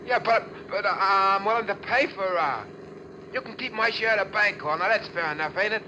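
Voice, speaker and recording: An actor playing a cowardly young bank robber, nervous and whining with an American accent, in 1940s radio style. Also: male voice, sits high, moderate pace, close to an everyday voice. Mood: pleading. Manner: whining, nervous, stammering, pleading. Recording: old radio recording, faint vehicle noise.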